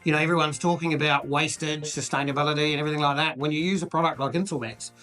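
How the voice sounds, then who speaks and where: A New Zealand man talks up his company's product in an easygoing way. His voice is bright and a little high. Soft music plays behind him. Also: fairly even intonation, racing, rough recording. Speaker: business owner guest. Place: bar in a city street.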